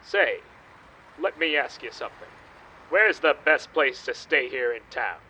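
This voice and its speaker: A man playing a dogged, patriotic Nazi hunter, blunt and deadpan, in a comedic radio play. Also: medium pitch, a little slow, low-quality recording. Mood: cool, pointed. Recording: faint background noise.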